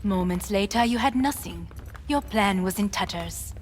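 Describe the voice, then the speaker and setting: Actress as a composed, imperious corporate heiress. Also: female voice, mid-range voice, expressive, a little hiss or hum, close to an everyday voice. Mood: composed, deliberate. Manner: formal, measured, commanding. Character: composed, imperious Japanese corporate heiress, coldly formal and secretive. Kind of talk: dramatic video game voice acting.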